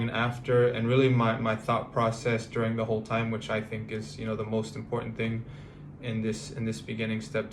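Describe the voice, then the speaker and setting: A man in his thirties from the United States, talking through a personal vlog in a halting, reflective way with many fillers and restarts. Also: medium pitch, slight background noise. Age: 30s. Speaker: vlogger.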